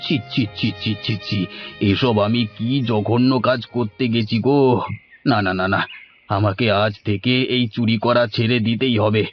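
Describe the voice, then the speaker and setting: A man's voice: medium pitch, fairly even intonation, normal speed, lightly acted. Sheepish village thief uncle acted by a man, lo-fi. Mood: remorseful. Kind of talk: cartoon voice acting. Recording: dull lo-fi audio with music.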